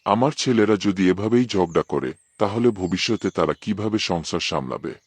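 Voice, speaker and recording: A man playing a deep-voiced worried old farmer. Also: expressive, fairly quick, husky, no room sound. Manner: earnest, fatherly lecturing. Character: hardworking old village farmer, worried father.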